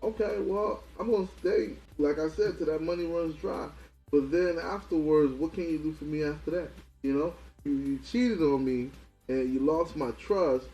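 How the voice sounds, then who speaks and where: A man in casual podcast talk, pitched a little high with a mellow, dark tone. He keeps pressing and repeating his point. Faint music plays underneath. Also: moderate pace, slightly rough, muffled recording. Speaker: podcast co-host. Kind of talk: casual chat.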